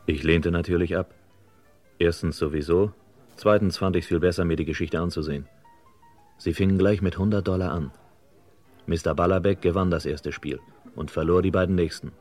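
A man's voice: deep voice, fairly even intonation, normal speed, pauses often, a little mellow, faint music behind. An actor playing a wry, cool male newspaper reporter who narrates a vintage German crime radio play in dry, clipped sentences. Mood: laconic, dry.

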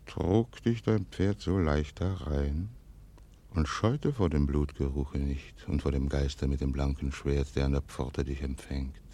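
Very deep, slow, stern aristocratic commander. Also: male voice, fairly even intonation, warm tone, full character voice. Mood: brooding, grave. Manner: grave, measured, declamatory. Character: stern, calculating, commanding aristocratic father and military governor. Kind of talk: 1940s German radio play acting.

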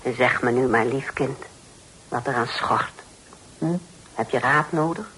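An actress playing a pious, stern but charitable older aunt in a radio play, speaking in a preachy, solemn manner. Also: female voice, a bass voice, measured pace, fairly bright tone, a little hiss or hum, low-quality recording. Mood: kindly insistent. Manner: preachy, scripture-quoting, solemn exclamations. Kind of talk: Dutch radio play acting. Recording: old radio play recording.

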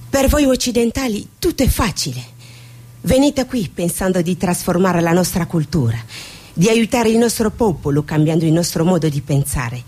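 A woman's voice: fairly low pitch, dark tone, breathy edge. An actress playing a grave, proud, fatalistic Somali woman seer in a stage drama. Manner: solemn, fatalistic.

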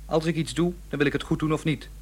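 An actor playing a proud, idealistic young student in a radio play, speaking in earnest declarations. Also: male voice, fairly high pitch, steady tone, fast talker, a little hiss or hum, lightly acted. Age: young adult.

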